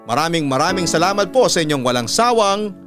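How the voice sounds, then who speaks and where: A Filipino man reading listener letters as a radio storytelling host, with a smooth, clear voice. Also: on the high side, lively intonation, brisk. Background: instrumental music.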